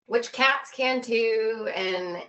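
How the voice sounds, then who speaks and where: A woman guest on a video call, chatting informally and telling anecdotes in an animated way. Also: a little high, extremely slow, lo-fi sound. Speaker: podcast guest. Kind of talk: casual chat, telling travel anecdotes.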